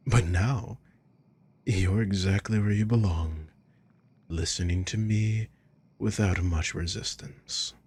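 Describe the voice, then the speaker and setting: A man playing a sadistic, controlling villain in an ASMR roleplay, breathy and husky, speaking with slow, condescending, taunting deliberation. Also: lowish voice, fairly even intonation, darkish tone. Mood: menacing, possessive. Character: sadistic, controlling male villain toying with a captive.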